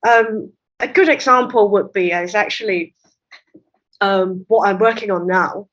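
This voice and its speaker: A woman translator whose first language is Cantonese, explaining her work at length in a relaxed interview, with light laughs. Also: mid-range voice, unhurried, rough recording. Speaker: literary translator, guest. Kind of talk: conversational interview.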